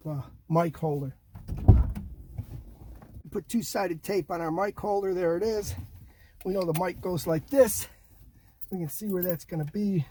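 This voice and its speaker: Older man, slightly high voice, DIY vlog host, folksy short phrases, noisy car interior. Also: a little mellow. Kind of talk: casual hands-on install demonstration. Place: inside a parked Jeep.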